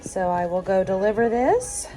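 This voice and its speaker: Hoarse chatty mom vlogging a hotel room. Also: female voice, mid-range voice, big pitch swings, moderate pace, warm tone, faint music behind, rough recording. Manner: chatty, upbeat running commentary. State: hoarse, losing her voice. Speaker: vlogger, mother of a large family. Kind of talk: casual vlog narration while cooking. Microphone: handheld phone.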